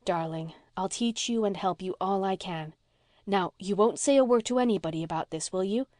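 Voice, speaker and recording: Woman reading as a gentle, frank, affectionate woman, dry sound. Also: mid-range voice, moderate pace, no room sound, close to an everyday voice. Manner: soft, tender, sincere. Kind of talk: audiobook character voice.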